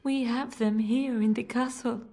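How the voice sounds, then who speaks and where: A woman voicing a frightened noblewoman and mother in a fantasy game, halting, anxious and pleading. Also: fairly high pitch, steady tone, warm tone. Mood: fearful pleading. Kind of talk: fantasy video game voice acting.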